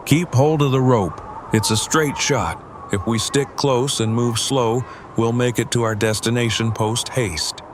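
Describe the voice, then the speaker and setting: An actor playing an earnest, wordy survivor who speaks in stiff, over-precise formal language in an audio drama. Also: male voice, mid-range voice, a little slow, natural voice. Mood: urgent. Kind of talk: fan audio drama acting. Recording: loud outdoor storm noise.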